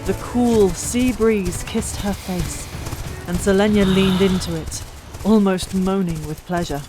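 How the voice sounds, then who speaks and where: A woman's voice: medium pitch, fairly even intonation, measured pace, poor audio. A woman narrating a fantasy audiobook in a dramatic, theatrical storytelling style. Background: music.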